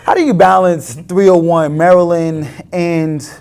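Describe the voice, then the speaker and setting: A man's voice: fairly high pitch, fairly even intonation, a little slow, a little mellow, clear, resonant tone. A man from New York City hosting an interview, asking questions with playful joking asides. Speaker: host.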